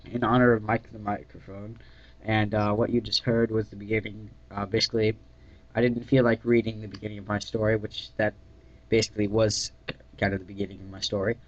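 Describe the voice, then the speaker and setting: A man giving an amateur dramatic reading of his own story, halting and often stumbling and correcting himself. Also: fairly low pitch, little pitch movement. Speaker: reader of own fan fiction. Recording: dull lo-fi mic in echoey room.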